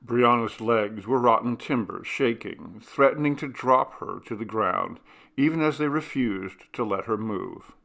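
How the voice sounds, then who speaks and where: Gravelly male story narrator. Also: mid-range voice, unhurried, fairly bright tone. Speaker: audiobook narrator. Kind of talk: scripted dramatic story narration.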